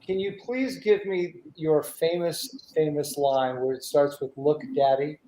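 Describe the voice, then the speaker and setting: High-voiced, echoey male talk show host. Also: unhurried, slight background noise. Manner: stammering, repeats words, excitable. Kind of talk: casual live interview. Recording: roomy, echoey sound.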